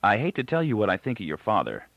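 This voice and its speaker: A blunt, questioning young man voiced by a male audiobook reader speaks in pointed bursts. The pitch jumps around a lot with a creaky edge, and the recording is dry and dull. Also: wildly swooping pitch, fast talker, a bit bright, no echo, full character voice. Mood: bitter. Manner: short, direct, pointed remarks.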